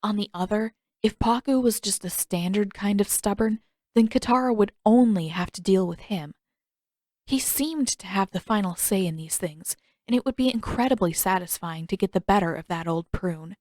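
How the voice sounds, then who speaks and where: Female fiction narrator, medium pitch, scripted storytelling read, dry close sound. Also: normal speed, a little mellow, no echo. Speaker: audiobook narrator.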